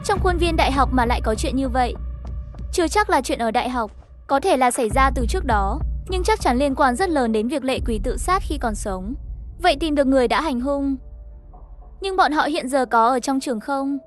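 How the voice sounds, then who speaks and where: A woman's voice: high voice, expressive, fairly quick, faint music behind. A woman reading a scripted story, voicing the narration and all the dialogue herself. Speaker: narrator of an animated horror story recap.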